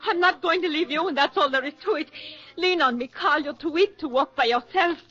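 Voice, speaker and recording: A woman's voice: sits high, brisk, fairly bright tone. A woman acting a devoted, resolute lover in earnest 1940s radio drama style. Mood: urgent, resolute. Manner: earnest, insistent, tender. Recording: muffled, noisy vintage 1940s radio recording.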